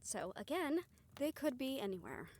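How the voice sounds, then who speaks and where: A woman's voice: high-pitched, big pitch swings, moderate pace, darkish tone. A woman acting a good-natured young spellcaster in an audio roleplay, talking in a casual, folksy, wry way. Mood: thoughtful.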